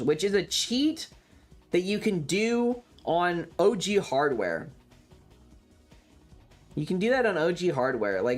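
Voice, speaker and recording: A streamer with a mid-range voice talks in a loose, chatty livestream style. The delivery is opinionated and rambling, circling back to repeat points and swearing casually. Music plays clearly in the background. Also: mid-range voice. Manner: casually profane. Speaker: variety streamer.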